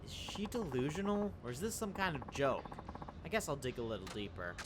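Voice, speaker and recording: A man's voice: mid-range voice, very animated, a little fast, background noise, lightly acted. A man reading aloud the lines of a stoic middle-aged male ex-yakuza taxi driver, with dry, wry asides, over game music.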